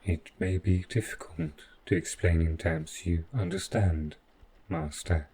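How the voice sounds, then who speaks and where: A man playing an overprotective robot butler gone rogue, speaking with formal, measured politeness in a scripted audio roleplay, calm and possessive. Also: low-pitched, husky, heavily acted. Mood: calm, explanatory.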